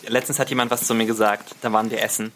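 A man's voice: lowish voice, little pitch movement, racing, a bit bright, a little hiss or hum. A man as a podcast guest, bantering casually and playfully and dropping English slang into his colloquial speech.

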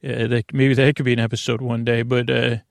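A creaky, gravelly man rambles through a bedtime podcast monologue, wandering off on tangents and padding every thought with filler words. The recording is close and dry, with no room sound. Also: medium pitch, level tone, brisk, dry recording. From United States. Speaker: podcast host. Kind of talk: meandering sleep podcast monologue.

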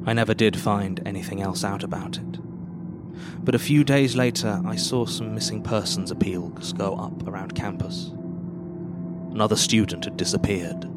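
A man acting a dry, uptight archivist in a live horror audio drama, measured and deliberate in his delivery. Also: lowish voice, fairly even intonation, over loud music, natural voice. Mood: grave, haunted. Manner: measured, deliberate, with hushed line endings. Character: dry, uptight male archivist reading eerie statements, easily exasperated. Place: live theatre stage.

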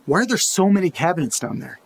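A man acting as an uneasy, curious character alone at night in a creepy house, in a halting audio drama monologue, muttering to himself with a husky voice. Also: a little high, very animated, heavily acted. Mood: troubled, puzzled.

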